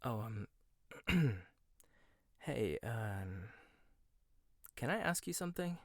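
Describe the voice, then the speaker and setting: A man performing a gentle, intimate ASMR roleplay monologue, hesitant at times and teasing at others. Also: mid-range voice, very animated, no room sound, studio quality.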